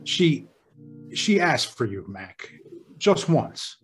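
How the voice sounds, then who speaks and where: A man playing a gentle, regretful hospital doctor in an old-time radio drama, hushed and somber. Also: mid-range voice, very animated, takes it slowly, soft background music. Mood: sorrowful, hushed.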